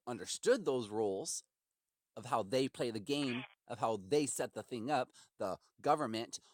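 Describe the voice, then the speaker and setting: Male caller, aggrieved and sermonizing, highly animated intonation, dry close sound, rambling argumentative delivery. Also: no room sound. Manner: emphatic, aggrieved, preachy, acts out imagined quotes. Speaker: caller to customer service. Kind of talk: impassioned rambling argument on a phone call.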